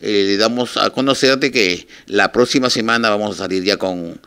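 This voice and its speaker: An older man with a Peruvian Spanish accent speaks haltingly and informally in an interview, addressing his listeners. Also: fairly low pitch, vocal fry, sharp tone, clean tone. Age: 60s or older. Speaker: radio station manager, interviewee. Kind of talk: informal televised statement to listeners. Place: small office, indoors. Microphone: handheld reporters' microphones held close.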